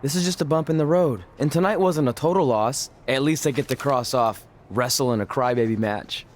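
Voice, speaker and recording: A man's voice: mid-range voice, fairly quick, slight background noise, close to an everyday voice. A man playing an upbeat young indie wrestler, telling his career story in a conversational, wry way for a video game. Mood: encouraging. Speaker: narrator and character. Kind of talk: scripted game cutscene narration and dialogue.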